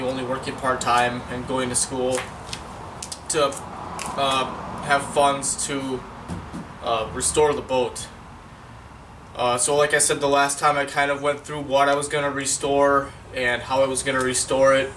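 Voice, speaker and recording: A man's voice: mid-range voice, background noise. A young man with a cold, sounding congested, casually explaining his boat project in a rambling vlog full of fillers. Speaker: vlogger.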